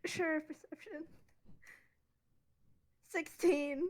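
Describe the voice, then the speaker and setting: A woman's voice: high-pitched, fairly even intonation, extremely slow. A woman playing a tabletop game, bantering casually in character.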